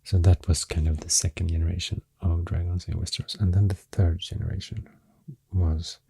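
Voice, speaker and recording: Deep, breathy Swedish-accented man, soft ASMR. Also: little pitch movement, dry recording. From Sweden. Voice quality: breathy, often near-whispered, deep. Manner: hesitant, halting, soothing. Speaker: ASMR creator. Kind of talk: soft-spoken ASMR rambling. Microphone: close microphone in a dry space.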